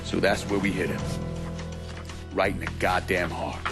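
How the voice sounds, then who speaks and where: A battle-hardened crime boss in a game cutscene. His voice is on the low side, authoritative and blunt, giving orders with rough language. Music runs behind him. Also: little pitch movement, moderate pace. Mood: firm, strategic. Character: commanding, hardened male war veteran turned crime boss.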